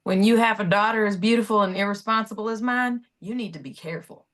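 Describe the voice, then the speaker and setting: A woman's voice: medium pitch, fast, fairly bright tone, lightly acted. A woman playing a determined, plain-spoken character in a thriller screenplay table read. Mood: determined, wry.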